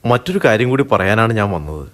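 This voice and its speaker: A young actor playing a respectful, persuasive college student organizer in a radio play. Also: male voice, fairly low pitch, big pitch swings, a little fast, fairly bright tone. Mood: earnest. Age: young adult. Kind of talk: radio drama acting.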